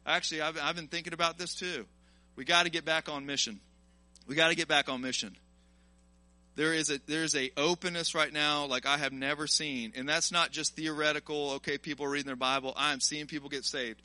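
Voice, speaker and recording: A man in his early forties preaching an informal sermon in an animated, colloquial, exhorting way. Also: a little high, brisk, no room sound. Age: early 40s. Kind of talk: informal sermon to a live congregation, with personal testimony.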